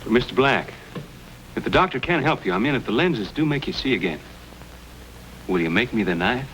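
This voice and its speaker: A man's voice: medium pitch, lively intonation, brisk, a bit bright, close to an everyday voice, poor audio. An actor playing a courteous but bold, strong-willed male frontier adventurer in an old-time radio western. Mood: polite, eager. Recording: old radio recording with hiss.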